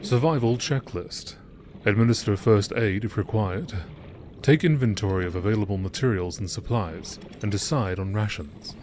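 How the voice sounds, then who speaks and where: A man's voice: on the low side, moderate pace. A man reading game text aloud as scripted narration in a nature documentary style. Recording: steady background noise.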